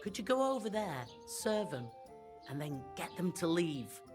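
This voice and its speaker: An actress playing an earthy, shrewd medieval tavern keeper in a video game, talking in a frank, folksy, casual way. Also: female voice, on the low side, very animated, a little slow, over music. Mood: easygoing, frank. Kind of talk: video game dialogue acting.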